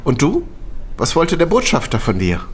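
An actor playing an affectionate, mischievous young man in a naturalistic, conversational audio drama style with a husky voice. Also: a little high, wildly swooping pitch, normal speed, slight background noise. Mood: polite, casual.

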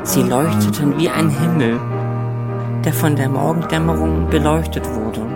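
A solemn, yearning reciter voiced by an actor in a radio play, with loud bowed strings all around. The mid-pitched voice moves very slowly and dreamily through love verse. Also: close to an everyday voice. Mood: dreamy, yearning. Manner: dreamy, measured. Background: loud bowed cello or double bass music.

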